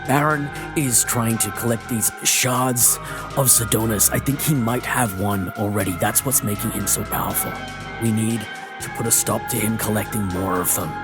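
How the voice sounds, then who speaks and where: A man playing an earnest, determined adventurer in a tabletop fantasy game, deliberate and resolute. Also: fairly low pitch, fairly even intonation, lightly acted. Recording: loud music bed.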